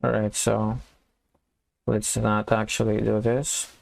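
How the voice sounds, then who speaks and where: A man giving a casual coding tutorial, thinking aloud and explaining step by step in an informal, halting way. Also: on the low side, level tone, gravelly. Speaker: programming tutorial instructor.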